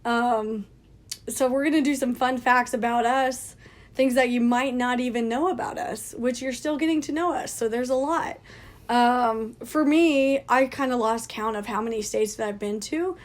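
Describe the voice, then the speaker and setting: Woman, twenties, scratchy voice, laughs a lot, casual podcast chat, slightly high voice. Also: normal speed. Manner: chatty, laughs often. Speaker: podcast co-host. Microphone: leaning close to a phone.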